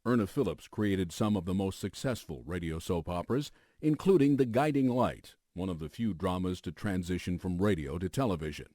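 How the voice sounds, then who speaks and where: A man's voice: low voice, fairly quick, dark tone, dry recording, clean studio sound. An American man hosting a radio documentary, reading scripted narration as a news anchor would.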